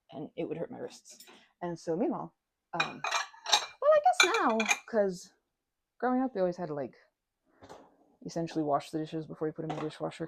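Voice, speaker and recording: A woman chatting casually to the listener as a best friend in an ASMR roleplay, bubbly and expressive, rambling and changing course mid-thought. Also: low voice, gravelly, slight background noise.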